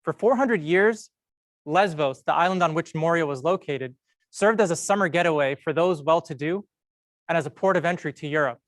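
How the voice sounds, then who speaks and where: A young man giving a prepared, storytelling talk at a medical school event, measured and earnest with slow emphatic pauses. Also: fairly high pitch, no room sound. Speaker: medical student speaker.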